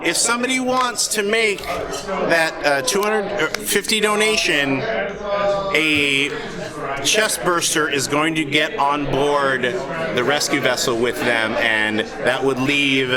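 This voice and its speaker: Higher-voiced man running a live game. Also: slow pace. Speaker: game master of a tabletop RPG. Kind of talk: improvised game narration and rulings at a live charity show. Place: live event hall. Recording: live convention stage with loud crowd. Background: loud crowd.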